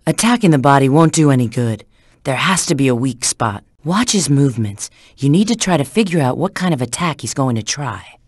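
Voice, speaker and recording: A woman's voice: a bass voice, sing-song, a little fast, darkish tone, slightly rough, no room sound, studio quality, heavily acted. A woman voicing a sly, flirtatious, confident treasure-hunting agent in a video game, giving hints and callouts with teasing assurance. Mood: instructive, confident.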